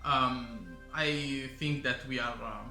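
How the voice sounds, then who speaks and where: Italian guy going over board game rules, very bright voice, lots of uhs, roomy camera-mic sound with faint music. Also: medium pitch. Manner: halting, many fillers and restarts. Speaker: board game channel host. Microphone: camera microphone.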